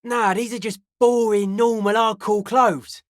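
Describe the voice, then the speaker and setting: A woman voicing a suspicious, aloof young hardcore raver in a video game, speaking in deadpan, clipped, cryptic pronouncements. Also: a little high, measured pace, no echo, clean studio sound, natural voice. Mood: dry, guarded. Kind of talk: video game character dialogue.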